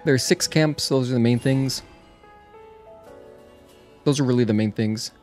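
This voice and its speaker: A man's voice: mid-range voice, unhurried, a little mellow. A man doing casual, chatty gameplay commentary as a livestreamer, thinking aloud as he goes. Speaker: video game livestreamer. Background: game music.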